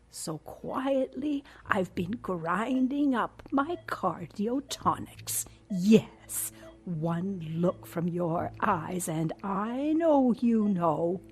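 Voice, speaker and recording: An elderly schemer in an audio drama, gloating with great patience. She speaks extremely slowly and deliberately, sometimes hushed to a whisper. Soft music sits behind her. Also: mid-range voice, breathy edge, heavily acted. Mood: quiet gloating. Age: older. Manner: slow, deliberate, dropping into whispers. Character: vengeful, patient elderly woman quietly gloating over her victim.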